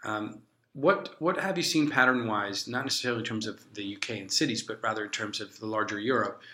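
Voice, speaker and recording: A man's voice: lowish voice, brisk, bright, forceful tone. A man moderating a panel discussion, asking long searching questions with restarts. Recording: echoey room.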